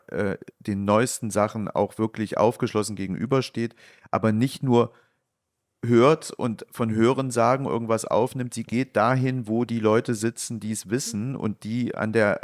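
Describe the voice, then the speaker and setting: Low-voiced man co-host, halting. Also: steady tone. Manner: halting, with many fillers. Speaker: podcast co-host. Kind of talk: casual podcast conversation.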